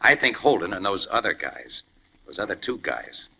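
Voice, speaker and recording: A hard, forceful male voice from an old radio crime show. He is a wisecracking undercover man posing as an ex-con, very low and rough-edged, tossing off slang with easy nonchalance. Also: big pitch swings, normal speed, heavily acted. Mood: confiding. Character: tough-guy crime photographer posing as ex-convict garage hand. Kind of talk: 1940s radio crime drama acting. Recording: old-time radio broadcast recording.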